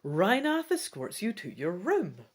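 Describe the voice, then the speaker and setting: A woman reading a fantasy gamebook aloud as its player, in an expressive, theatrical storytelling style. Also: medium pitch, unhurried, husky. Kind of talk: dramatic storybook narration with casual asides.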